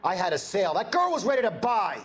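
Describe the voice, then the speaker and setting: An actor playing a defensive, bitter, hot-tempered American shopkeeper under police questioning in a video game. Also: male voice, sits high, very animated, fast, a bit bright, faint music behind. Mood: indignant, evasive. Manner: agitated, indignant. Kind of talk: video game cutscene acting.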